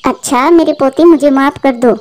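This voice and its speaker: A woman's voice: high voice, normal speed, darkish tone, close to an everyday voice. A woman voicing a tender, apologetic old grandmother bird in a cartoon, speaking affectionately. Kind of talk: Hindi cartoon moral story acting.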